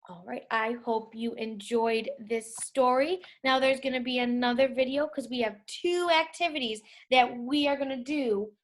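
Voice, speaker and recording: A woman reading a children's storybook aloud in a deliberate way for young listeners. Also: on the high side.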